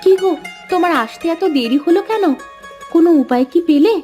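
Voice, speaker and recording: Practical village wife for a cartoon folk tale, female actor: high voice, homely, expressive, music behind. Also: a little fast. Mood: fretting. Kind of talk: cartoon dialogue voice acting.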